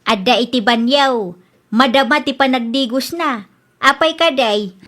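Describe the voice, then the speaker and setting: A woman's voice: high-pitched, measured pace, a bit bright. A woman voicing an older mother who runs a rice store, in a radio drama. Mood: worried. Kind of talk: Ilocano radio drama acting.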